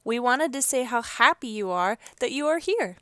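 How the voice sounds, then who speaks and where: A woman's voice: on the high side, lively intonation, moderate pace, bright, forceful tone, dry recording, clean studio sound, voice pushed up. A woman voicing a cheerful, welcoming mother in a children's storybook, friendly and reassuring.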